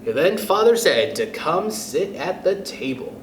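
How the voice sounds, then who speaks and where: A man's voice: high-pitched, big pitch swings, a little slow. A man hosting a children's storytime, reading a picture book aloud expressively and talking directly to young listeners. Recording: roomy echo with faint background noise.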